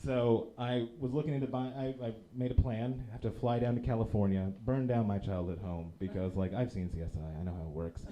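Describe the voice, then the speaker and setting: A man's voice: fairly quick, hardly any pauses, lo-fi sound. A man performing a stand-up comedy set in a small theater, self-deprecating, with a handheld mic and the audience laughing between jokes. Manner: self-deprecating, lively delivery with drops into low deadpan for punchlines. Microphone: handheld microphone. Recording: live club recording with audible background noise.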